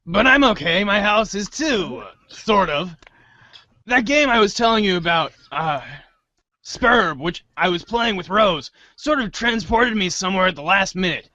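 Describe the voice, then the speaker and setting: A man acting an earnest, excitable, rambling teenage boy hero in a storybook-style reader's theater. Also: sits high, a little slow, dry recording, highs cut off, full character voice. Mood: flustered, earnest.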